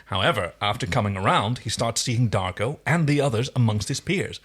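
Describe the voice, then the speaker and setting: A man's voice: medium pitch, animated, fairly quick, a bit bright, husky. A man co-hosting a podcast in casual, chatty, emphatic conversation. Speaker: podcast co-host. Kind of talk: casual chat.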